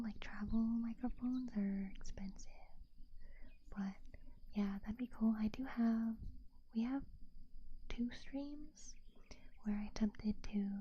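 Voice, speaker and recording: A woman streamer whispering soft, breathy, playful ASMR chat very close into a binaural microphone. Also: on the high side, dull audio, slight background noise. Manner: playful, cutesy, giggly. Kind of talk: whispered ASMR, chatting with live stream viewers. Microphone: binaural 3Dio ASMR microphone, very close.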